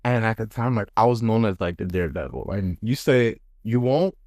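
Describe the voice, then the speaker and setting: Animated young-sounding man telling stories, very dry sound. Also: lowish voice, a little fast, dry recording. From Dominican background. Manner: animated, slangy. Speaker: podcast host. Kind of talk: casual chat, storytelling.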